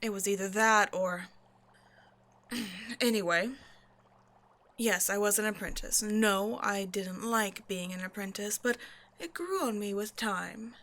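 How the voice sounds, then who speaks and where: A woman voicing a cold, century-old female vampire scientist in an audio roleplay, speaking in a dry, measured, condescending way straight to the listener. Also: mid-range voice, a bit bright. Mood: guarded, matter-of-fact. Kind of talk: scripted ASMR roleplay monologue, speaking to a listener.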